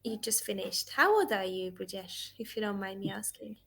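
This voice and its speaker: A woman's voice: mid-range voice, normal speed, gravelly, a bit bright. A woman in her twenties, an English tutor, chatting casually on a video call, laughing often and asking lots of questions. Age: 20s. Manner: giggly, chatty, asks many questions.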